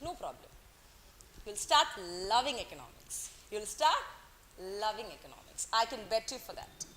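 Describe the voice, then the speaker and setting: Woman, bright tense voice, playful teacher, classroom lecture. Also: on the high side, very animated, normal speed, slight background noise. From Kerala, India. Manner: playful, teasing, coaxing students, mixes in Hindi words. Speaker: economics teacher.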